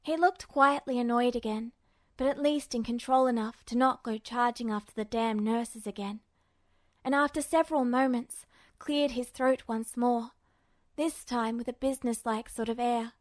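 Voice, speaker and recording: A woman's voice: fairly high pitch, steady tone, normal speed, no echo. A woman reading scripted fiction aloud as the narrator of a story. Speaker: podfic narrator.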